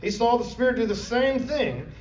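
A man's voice: very high-pitched, animated, moderate pace. A man teaching a Bible study class, talking conversationally and often asking the group questions. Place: classroom or church meeting room. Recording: roomy, distant, dull lo-fi with faint noise.

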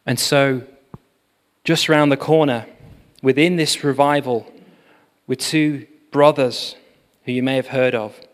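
Man, preacher, storytelling sermon, animated, echoing church hall. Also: medium pitch, unhurried. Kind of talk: sermon on revival history. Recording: long hall reverb.

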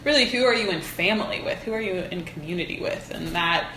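A woman with a lowish voice talks casually and reflectively, as if working out her thoughts aloud. She is earnest and informal. The room echoes around her, with faint noise behind. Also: fast, sharp tone. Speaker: interview guest, folk practitioner. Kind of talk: casual reflective interview conversation. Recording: roomy echo, faint background noise.

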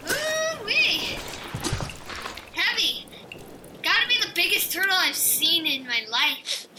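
A woman's voice: extremely high pitch, lively intonation, slow pace, distant mic, noisy background, full character voice. A woman playing a laid-back, good-hearted island dragon in an audio drama, with an easygoing, folksy manner. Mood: eager, helpful.

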